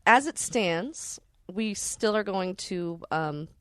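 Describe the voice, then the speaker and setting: A woman telling personal stories as a podcast guest in casual conversation, halting and deliberate, with rather formal wording. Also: mid-range voice, expressive, a bit bright, clear, resonant tone, no room sound, studio quality. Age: adult parent.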